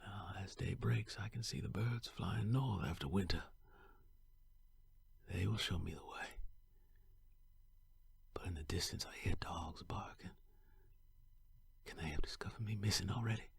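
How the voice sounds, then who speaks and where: A young enslaved man on the run, played by a male actor, tells his own story in a historical drama. His voice is deep and very husky, with a gravelly creak. He speaks in a hushed, halting, heartfelt way, worn out but set on freedom. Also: full character voice. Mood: hushed, wary. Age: young adult. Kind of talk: dramatic first-person historical narration.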